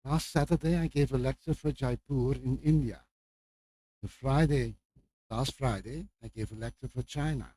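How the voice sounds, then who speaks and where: An older man from Belgium, a native Flemish speaker with a Flemish accent, gives an informal lecture introduction as a visiting professor in a halting way, with repeated words and asides. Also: mid-range voice, warm tone. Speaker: guest lecturer, medical professor.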